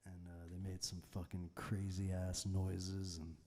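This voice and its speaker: A man chatting casually between songs on a live stream from his garage, soft-spoken and rambling, with plenty of fillers. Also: sits low, monotone, measured pace. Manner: rambling, filler-heavy, laid-back storytelling. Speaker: musician hosting a live stream. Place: home garage.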